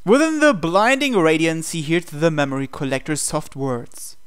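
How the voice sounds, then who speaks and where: A man's voice: a little high, moderate pace, clean tone. A man reading a visual novel aloud in a dramatic storytelling narration style.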